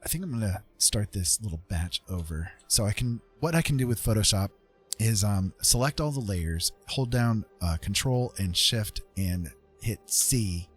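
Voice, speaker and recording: A man's voice: fairly low pitch, expressive, moderate pace, breathy edge. A man chatting casually on a live stream as he draws, hesitant and self-effacing, thinking aloud with tutorial-like asides. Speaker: streamer and digital artist. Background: faint music.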